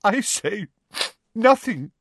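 A man voicing a theatrical middle-aged woman seer in an audiobook, high-pitched, wavering and melodramatic. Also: fairly bright tone. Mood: choked, wounded. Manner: melodramatic, drawn-out, choked with wounded dignity. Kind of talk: audiobook character voice.

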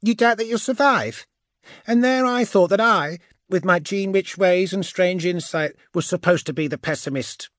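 A man's voice: sits high, normal speed, fairly bright tone, dry recording, studio quality, voice pushed up. A man voicing a cool, mocking gene witch woman in a science fiction audiobook, speaking in a wry, portentous and self-assured manner. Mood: teasing. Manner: wry, portentous, sure of herself.

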